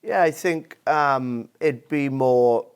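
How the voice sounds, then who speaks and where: A Welsh man joining a casual political discussion as a guest, hesitant and pausing often. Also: mid-range voice, smooth. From Wales. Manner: hesitant, many "um"s and restarts.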